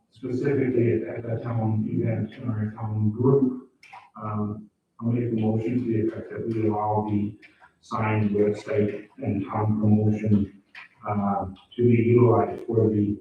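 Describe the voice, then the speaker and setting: A man whose first language is French gives his councilor report at a town council meeting, pausing and repeating himself as he searches for words. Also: lowish voice, a little hiss or hum. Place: council chamber. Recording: echoey chamber, distant phone-like mic.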